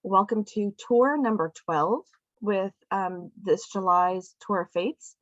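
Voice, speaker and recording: Woman host, friendly, halting, muffled dry audio. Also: medium pitch, dry recording. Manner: friendly, hesitant with many ums. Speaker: event host. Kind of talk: casual introduction.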